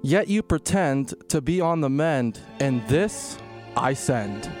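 A man on a comedy radio show, reading aloud haltingly and stumbling over words. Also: mid-range voice, animated, music in the background. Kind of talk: halting read-aloud and banter.

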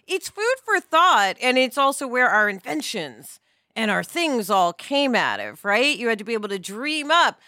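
Bright, higher-pitched woman chatting. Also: sing-song, normal speed, no room sound, clean studio sound. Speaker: podcast host. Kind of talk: casual podcast conversation.

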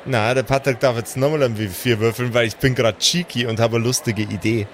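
Game master, faint noise. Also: male voice, mid-range voice, fairly even intonation, brisk. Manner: colloquial, swears casually. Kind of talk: improvised tabletop game narration.

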